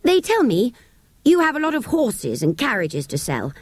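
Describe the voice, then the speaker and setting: A plucky young English boy from a storybook, played by a woman, speaks in a high, lively voice. He is proper and earnest, quick to take offence. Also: slightly rough, no room sound, heavily acted. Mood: earnest politeness. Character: indignant, polite, plucky well-bred young boy.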